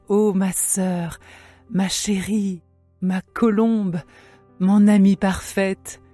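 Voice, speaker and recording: A woman playing a lovesick young woman longing for her beloved, in a measured, poetic dramatized scripture reading. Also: fairly low pitch, warm tone, breathy edge, no room sound. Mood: drowsy, tender.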